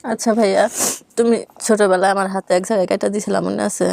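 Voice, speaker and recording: A woman's voice: medium pitch, steady tone, fast, vocal fry, a little mellow, no room sound. A woman with a Bangladeshi accent, casually asking relatives questions and recalling childhood as a guest. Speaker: guest reunited with family.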